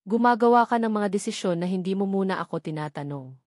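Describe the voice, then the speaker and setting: A woman's voice: medium pitch, animated, fast, darkish tone, no echo, natural voice. Woman playing earnest husband, Filipino accent, hurt and apologetic, pleading, romance audiobook, dry recording. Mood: hurt, reproachful.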